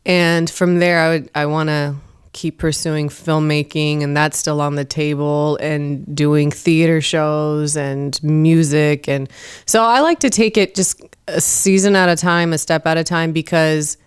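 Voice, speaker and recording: Female guest, low register, emphatic and preachy when making a point. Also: steady tone, unhurried, smooth. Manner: earnest, emphatic, preachy about faith. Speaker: podcast guest, comedian and performer. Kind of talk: casual interview talk. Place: studio.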